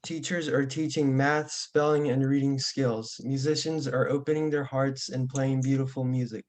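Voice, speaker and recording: A young man, a student volunteer, introducing himself and reading a picture book aloud in a steady, plain way over a video call. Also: medium pitch, moderate pace, a little hiss or hum. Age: young adult.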